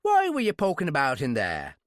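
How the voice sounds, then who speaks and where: A man voicing a gruff, suspicious middle-aged Irish rig foreman in an audiobook, blunt and rough in tone. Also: huge pitch swings, brisk, no room sound, studio quality. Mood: truculent, suspicious. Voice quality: deep, rough. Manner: no-nonsense, blunt. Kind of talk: audiobook character voice.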